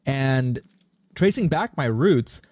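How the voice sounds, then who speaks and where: A man's voice: mid-range voice, expressive, slow, darkish tone, no room sound, studio quality. A man in his thirties with an American accent, answering interview questions as a podcast guest in an earnest, emphatic, articulate way. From born in India, raised in Singapore. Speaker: podcast guest, startup CEO.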